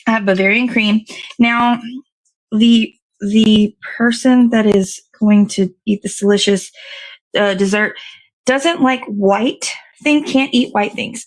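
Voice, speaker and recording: A woman with a mid-pitched voice hosts a casual morning live stream. She chats in a rambling, informal way and thinks aloud while she busies herself with a task. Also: poor audio. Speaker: host of a morning show. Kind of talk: casual live chat while assembling a dessert.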